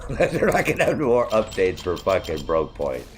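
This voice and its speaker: Male game streamer, extremely deep, soothing voice; loose, swearing chatter over clear in-game gunfire. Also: huge pitch swings, unhurried, a little hiss or hum. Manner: chatty, profane, griping. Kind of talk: casual live-stream gaming commentary.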